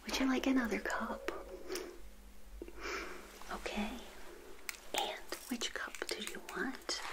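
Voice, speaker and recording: Slow, whispering older woman. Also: on the high side, fairly even intonation. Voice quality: breathy whisper. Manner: gentle, playful witch hostess addressing the listener directly. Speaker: ASMR roleplay host. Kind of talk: whispered ASMR roleplay.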